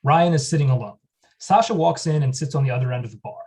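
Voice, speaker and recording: A man's voice: on the high side, fast, dark tone. A man reading the stage directions at a script table read, in a plain, matter-of-fact voice.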